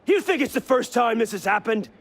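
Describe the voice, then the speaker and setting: An actor playing a bitter, fanatical older assassin in a video game, speaking in a gravelly, husky voice with grand, impassioned intensity. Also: male voice, on the high side, big pitch swings, takes it slowly, full character voice. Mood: impassioned, furious. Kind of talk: video game dramatic acting.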